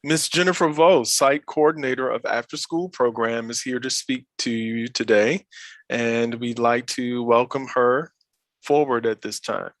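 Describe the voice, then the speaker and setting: A man with a mid-range voice introduces speakers at a school orientation. He announces slowly and formally, and his sound is dry and close over a video call. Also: medium pitch, steady tone, dry recording. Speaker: orientation host.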